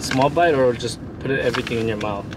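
A man chatting casually in a parked car, asking quick, skeptical questions. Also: mid-range voice. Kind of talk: casual chat. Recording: car background noise.

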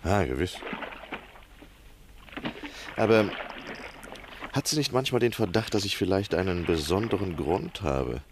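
A man's voice: low voice, animated, unhurried, creaky, pauses often, background noise, heavily acted. A man playing a courteous, scheming lodger in a German radio drama, questioning politely but insistently. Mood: coaxing, insistent.

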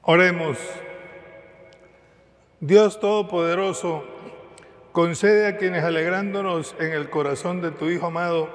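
Older male celebrant, solemn liturgical delivery, recorded in a large reverberant church. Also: a little high. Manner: solemn, measured intoning. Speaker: presiding priest. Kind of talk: liturgical prayer and recitation. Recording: echoey church with long reverb.

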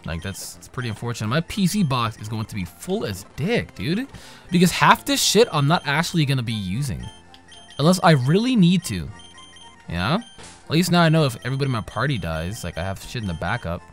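A young man giving casual, profane let's-play commentary, trash-talking the characters and breaking into sudden loud yells. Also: medium pitch, sing-song, brisk. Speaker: gaming channel host. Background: game music.

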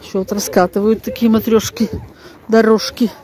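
A woman's voice: little pitch movement, normal speed, warm tone. An older woman chatting casually as a vlogger, rambling to herself in a folksy, exclaiming way with playful diminutives. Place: shop, bus, snowy street, flat. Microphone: handheld phone. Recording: noisy handheld recording with vehicle rumble.

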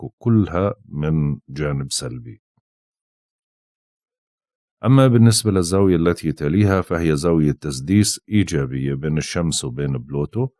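Very deep-voiced tired man. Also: darkish tone, dry recording, studio quality. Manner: slow and halting, with frequent drawn-out "uh" pauses. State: tired and unwell, voice strained. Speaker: astrologer giving daily horoscopes. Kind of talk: daily astrology forecast monologue. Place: indoors, with possible street sounds outside.

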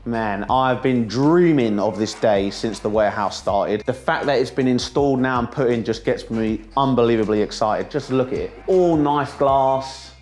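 A man's voice: medium pitch, moderate pace, hardly any pauses, a little mellow, faint music behind. A man in his thirties hosting a casual vlog, chatting enthusiastically and joking while walking through a warehouse under construction. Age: 30s. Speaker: vlog host.

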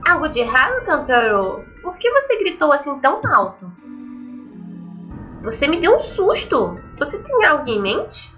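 A woman's voice: high voice, wildly swooping pitch, normal speed, fairly bright tone, muffled recording, faint music behind, pitched above the performer's own voice, heavily acted. A woman voicing a cheerful, deranged teenage schoolgirl killer in a visual novel, sweet and gleefully boastful. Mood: sweetly innocent.

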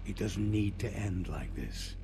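An actor playing a wry, dignified older man in a video game, speaking in a measured, urbane, dry manner. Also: low voice, lively intonation, music in the background. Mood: grave, measured. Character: wry, dignified older male captive hotelier. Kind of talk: dramatic video game acting.

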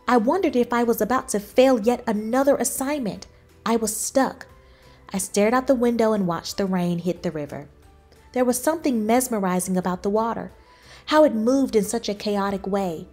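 A woman reading a children's book aloud in expressive storybook narration. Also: fairly high pitch. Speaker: children's book reader, channel host.

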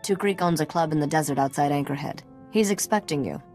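Woman playing a low-voiced, sly treasure hunter. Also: fast, darkish tone, faint music behind, close to an everyday voice. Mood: sly, businesslike. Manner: cool, knowing. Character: shrewd, confident female treasure hunter, secretive and sly. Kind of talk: video game dialogue acting.

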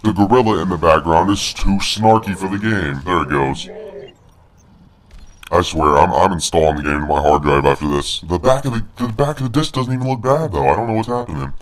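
A man's voice: low-pitched, a little fast, natural voice. A man voicing a snarky, crude big monster brute while doing casual, jokey video game commentary. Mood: snarky, grumbling.

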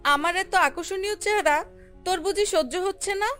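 A woman voicing a vain, haughty, quick-tempered female ripe mango in a children's cartoon, boasting and scolding. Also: extremely high pitch, fairly bright tone. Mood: angry, scolding. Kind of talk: animated children's fairy tale acting. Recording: music under the voice.

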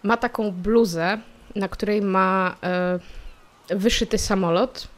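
A woman player in a tabletop game describing her character, thinking aloud with small pauses. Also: mid-range voice, steady tone. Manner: thoughtful, with hesitant fillers.

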